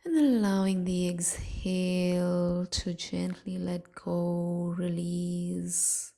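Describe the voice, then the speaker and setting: A woman leading a guided sleep meditation in a hushed, soothing, softly breathy way, leaving long pauses. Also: on the low side, fairly even intonation.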